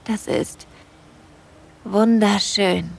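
A woman playing a smitten hiker who narrates her date intimately in a breathy, playful way for an erotic audio drama. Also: mid-range voice, fairly even intonation, extremely slow, full character voice. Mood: awed.

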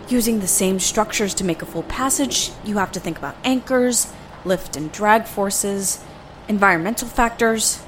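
A woman's voice: medium pitch, noisy background, lightly acted. A woman acting an overworked, defensive engineer in a science fiction audio drama.

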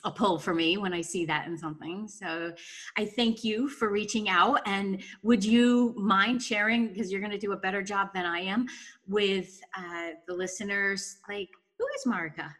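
A woman's voice: medium pitch, fairly even intonation, normal speed, fairly bright tone. Chatty woman hosting a podcast. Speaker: podcast host. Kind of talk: conversational podcast introduction and interview.

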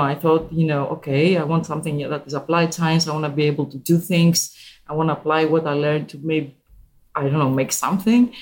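A woman from Greece with a Greek accent, a company co-founder answering interview questions in a chatty, unhurried way with many fillers. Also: low-pitched, a little mellow.

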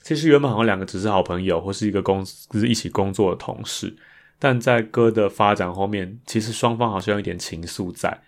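A man with a fairly low voice and a Taiwanese Mandarin accent hosts a podcast. He talks through his thoughts in a casual, reflective monologue. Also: fast. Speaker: podcast host. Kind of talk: reflective casual monologue about improvised songs.

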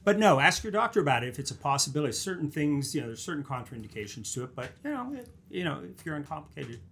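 A man's voice: on the high side, sing-song, fast, creaky, fairly bright tone. A man who is a doctor, a podcast guest, explaining medical matters in an easygoing, plain way. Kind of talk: casual chat.

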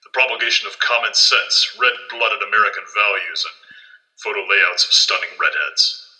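An actor playing a crotchety conservative magazine boss, a gruff, pompous man given to ranting in an audio play. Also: fairly low pitch, fairly even intonation, normal speed, sharp tone, rough recording. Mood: gruff, indignant.

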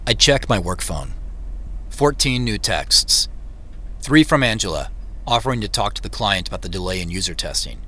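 An actor playing a thoughtful, nervous man, narrating an audio drama in the first person in a measured, intimate way. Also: on the low side, expressive, bright, forceful tone. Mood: pensive, uneasy. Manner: measured, reflective, intimate storytelling. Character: Joseph, thoughtful, nervous man retracing family memories. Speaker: narrator and lead character. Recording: music under the voice.

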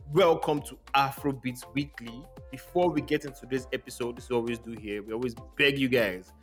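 A man hosts a podcast in loose, chatty talk and laughs easily. His voice sits at an ordinary pitch. Faint music plays under him. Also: fairly bright tone. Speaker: podcast host. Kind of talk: casual podcast chat.

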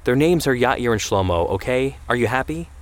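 A man narrating plays a defiant teenage son in a family story. He snaps back with petulant sarcasm, his pitch jumping high as he argues. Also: animated, moderate pace, close to an everyday voice. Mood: angry, exasperated.

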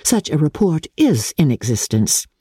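A woman voicing a proud, composed young gentlewoman in a storybook reading, politely firm and coolly defiant. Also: sits low, sing-song, breathy edge, no room sound, clean studio sound, full character voice. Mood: cool disdain. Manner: cool, measured, politely firm.

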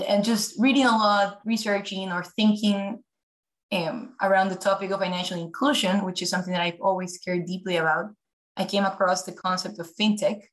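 A woman's voice: fairly low pitch, rough recording. A young woman from Ecuador, a podcast guest telling her career story thoughtfully, often restarting and repeating words. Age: young adult. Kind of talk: podcast interview.

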